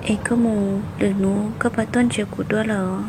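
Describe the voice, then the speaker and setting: A woman's voice: mid-range voice, steady tone, fairly quick, background noise, lightly acted. A woman voicing a young village woman in a homemade cartoon, talking in a plain, conversational way and recorded on a phone. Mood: chatting. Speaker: voice actor. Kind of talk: amateur cartoon voice acting. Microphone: phone microphone.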